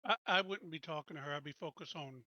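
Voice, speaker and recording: A man's voice: fairly high pitch, fast, bright, forceful tone, no echo, lo-fi sound. A man playing a tabletop game, bantering casually and bluntly with some swearing.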